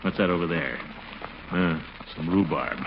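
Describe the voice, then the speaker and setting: A man's voice: sits low, unhurried, creaky. An actor playing a pompous, easily flustered middle-aged family man in a 1940s radio comedy, blustering and muttering to himself. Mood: musing. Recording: muffled, noisy 1940s radio recording.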